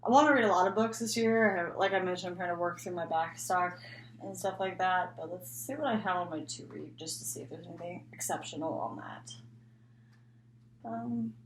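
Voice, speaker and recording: A woman's voice: on the low side, fairly quick. A woman talking casually and unscripted as a book vlogger, rambling and often interrupting herself. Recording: echoey room, faint background noise.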